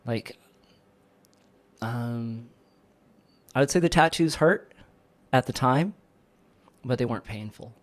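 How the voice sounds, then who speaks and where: A man's voice: mid-range voice, slow pace, pauses often. A man talking as a podcast guest, earnest and searching for the right words. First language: English. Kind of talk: casual chat.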